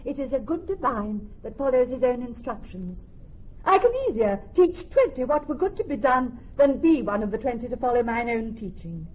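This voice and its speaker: A woman's voice: fairly high pitch, expressive, moderate pace, husky. A woman playing a witty, wry young heiress in classical Shakespearean radio drama, articulate and theatrical. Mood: wry, musing. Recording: dull, muffled radio recording.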